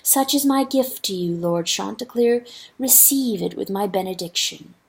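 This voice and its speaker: A malicious river spirit from a storybook fable, voiced by a woman narrator. It speaks in solemn, incantatory phrases at a middle pitch, seductive and coldly lordly. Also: moderate pace, creaky, slightly rough. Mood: silky, taunting.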